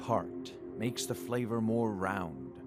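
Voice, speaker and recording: An actor playing an unruffled, friendly mead brewer in a video game, easygoing in manner. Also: male voice, fairly low pitch, lively intonation, slow. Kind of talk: video game character dialogue. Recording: music behind the voice.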